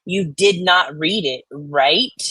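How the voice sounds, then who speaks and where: A woman bantering casually and reading online comments out loud in a blunt, sweary, folksy way. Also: sits low, slow pace, slightly rough, no echo. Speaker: co-host reading comments aloud.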